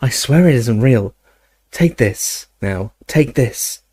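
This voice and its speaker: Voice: mid-range voice, lively intonation, slow pace, mellow, breathy edge, dry recording. A storyteller voicing a desperate young male wizard, anxious and urgently coaxing, as in an audiobook.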